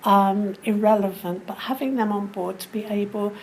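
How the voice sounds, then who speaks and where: Measured woman explaining her work. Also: medium pitch, steady tone, darkish tone, slight background noise, poor audio. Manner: measured, explanatory, with pauses and fillers. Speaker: community safeguarding worker. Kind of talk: recorded interview.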